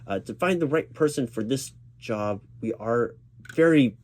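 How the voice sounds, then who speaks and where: A man doing a spoken ASMR job interview roleplay as a reassuring, gently professional interviewer who speaks a little hesitantly. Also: medium pitch, lively intonation, measured pace. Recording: clear background noise of keyboard typing. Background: computer keyboard typing.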